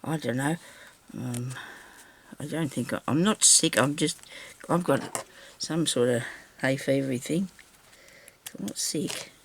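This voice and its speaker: A woman with a slightly raspy voice, recovering from a sore throat, chatting casually to viewers and to herself as she crafts. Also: a bass voice, unhurried, slight background noise. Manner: chatty, talks to herself while working. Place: craft table indoors.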